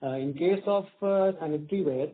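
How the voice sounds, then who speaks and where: A man's voice: a little high, fairly quick. A man giving answers as a company executive on an earnings call. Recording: thin phone-like call audio.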